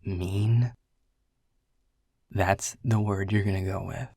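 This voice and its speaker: A man's voice: lowish voice, steady tone, slow, mellow, no room sound, studio quality, full character voice. A man playing a world-weary, prickly male middle manager in a second-person audio roleplay, blunt and sarcastic, swearing often. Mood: sardonic. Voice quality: gravelly, husky.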